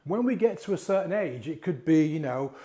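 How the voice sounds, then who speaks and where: Croaky man with a mellow voice. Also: on the high side, expressive, brisk. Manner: conversational, asks rhetorical questions, repeats and restarts words. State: croaky from a cold. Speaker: mindset coach and hypnotist. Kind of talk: casual coaching talk to camera.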